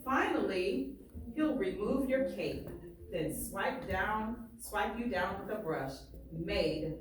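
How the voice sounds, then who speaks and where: A woman teacher reading aloud and questioning her class in a deliberate way, repeating phrases for emphasis. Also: medium pitch, expressive. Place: indoor classroom. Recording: echoey room, far from mic, faint noise.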